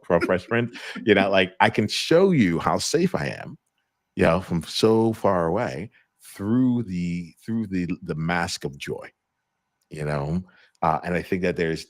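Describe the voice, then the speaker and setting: A man in middle age hosts a live chat in an extremely deep voice, heard dry and close with no room sound. He talks loosely and searches for words, circling back through fillers and restarts. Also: no echo. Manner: rambling with many restarts and fillers. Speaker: host. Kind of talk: casual live conversation.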